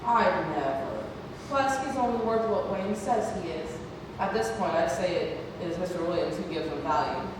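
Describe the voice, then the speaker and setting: A woman's voice: on the low side, lively intonation, normal speed, natural voice. A woman playing a kindly, chatty nurse in an amateur stage play reading. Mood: gentle, generous. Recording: distant echoey hall, noisy.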